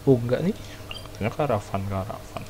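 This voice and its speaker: Indonesian guy streaming a game, talks fast, casual, noisy sound with game audio. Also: mid-range voice. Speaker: gaming streamer. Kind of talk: casual gameplay commentary.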